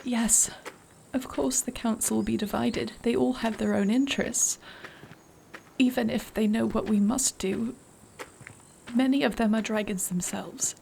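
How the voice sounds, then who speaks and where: A woman playing a noble warrior princess in a fantasy audio roleplay, speaking solemnly, formally and with deliberate care. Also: on the high side, fairly even intonation, gravelly, a little mellow, a little hiss or hum, low-quality recording. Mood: anguished, grave. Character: noble warrior princess, grave, torn, devoted wife.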